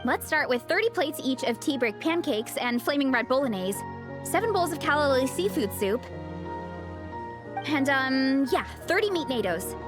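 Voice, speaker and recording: A woman's voice: sits high, big pitch swings, moderate pace. A woman voicing a cheerful, earnest young heroine with a huge appetite in an anime-style game. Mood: upbeat, eager. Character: cheerful, ravenous young heroine, earnest comic-book fan. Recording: game music behind.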